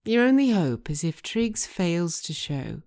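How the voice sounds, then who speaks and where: A woman's voice: fairly low pitch, sing-song, a little slow, darkish tone. A woman playing an exasperated, stern lawyer with a clipped, reproving manner, in a scripted audio drama. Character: exasperated, stern female lawyer scolding her client.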